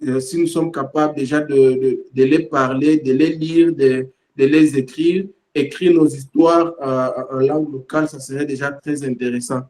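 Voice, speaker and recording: A man sharing his thoughts in a panel discussion, halting and full of fillers and repeated words. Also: mid-range voice, fairly even intonation, darkish tone. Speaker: panel participant. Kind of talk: hesitant spoken reflection.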